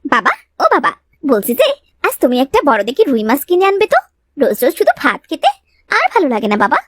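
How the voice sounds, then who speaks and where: A woman's voice: high-pitched, very animated, a little fast, a bit bright, no echo. A woman voicing an eager, playful little village girl in a cartoon, childlike and sing-song. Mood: pleading, eager. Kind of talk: Bengali cartoon voice acting.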